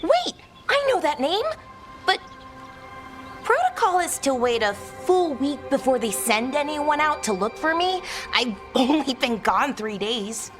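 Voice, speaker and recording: A woman's voice: sits high, soft background music. An actress playing an eager, chatty young female elven magic researcher who thinks aloud in a fantasy video game. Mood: surprised, wary. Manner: excitable, halting, thinking aloud. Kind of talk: cinematic video game dialogue.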